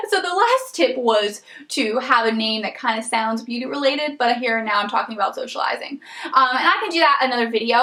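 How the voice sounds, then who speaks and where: A young woman giving casual tips to camera as a beauty vlogger, chatty and rambling with lots of ums, her voice a little raspy from a coming cold. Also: on the high side, pushed and bright, reverberant. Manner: chatty, rambling, many ums and you-knows.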